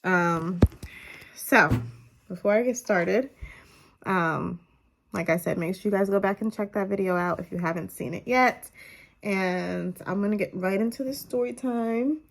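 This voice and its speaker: A woman's voice: medium pitch, a little slow, gravelly. A young woman with a stuffy, slightly nasal voice tells a casual story time to her camera at home, with lots of fillers. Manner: chatty, lots of "um" and "you guys". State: congested, a little nasal. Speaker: vlogger. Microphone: camera on tripod.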